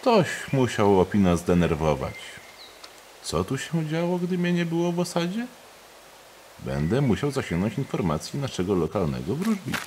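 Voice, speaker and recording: A man voicing a weary, dutiful male warrior lieutenant with a very expressive delivery. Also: moderate pace, a little hiss or hum. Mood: thoughtful. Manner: very expressive, swinging pitch.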